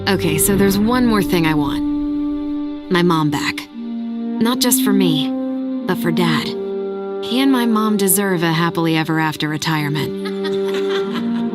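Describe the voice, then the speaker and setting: Actress as brash young American soldier, lowish voice. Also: female voice, lightly acted. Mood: tender, hopeful. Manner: casual, cocky, slangy. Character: brash, wisecracking young American female soldier, warm-hearted. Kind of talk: video game cutscene narration. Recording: loud music under the voice.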